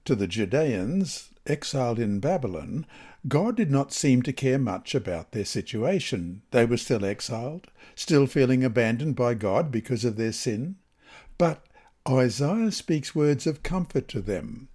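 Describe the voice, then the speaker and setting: A man with a soft-edged, mellow voice reads a devotional study lesson aloud. His delivery is measured and deliberate, with lively rises and falls in pitch that give the scripted text and scripture an expressive shape. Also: husky. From Australia. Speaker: reader of Bible study lessons.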